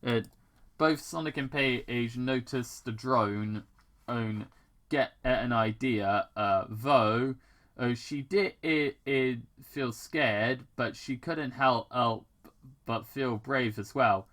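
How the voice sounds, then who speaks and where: Fan fiction read-aloud, slow pace, bright forceful voice, halting, repeated words, amateur narration. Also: medium pitch.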